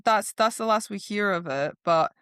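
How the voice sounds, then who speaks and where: A woman co-hosting a podcast, casually discussing a book in an expressive, gushing way, acting out the dialogue. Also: medium pitch, moderate pace, clean tone, dry recording, studio quality. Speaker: podcast co-host.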